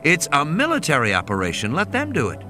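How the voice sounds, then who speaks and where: Man doing a stubborn, high-pitched alarmed lawyer. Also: wildly swooping pitch, quick pace, over music. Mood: defensive. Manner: insistent, argumentative. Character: stubborn, evasive male lawyer, alarmed and insistent. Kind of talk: audiobook character dialogue.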